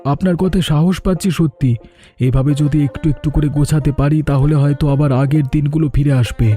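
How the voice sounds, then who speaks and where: A man's voice: a little high, fairly even intonation, brisk, mellow, close to an everyday voice. A man voicing an anxious, humble middle-aged village sweet-shop owner in a cartoon, speaking plaintively and earnestly. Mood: hopeful. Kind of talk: cartoon drama dialogue. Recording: music under the voice.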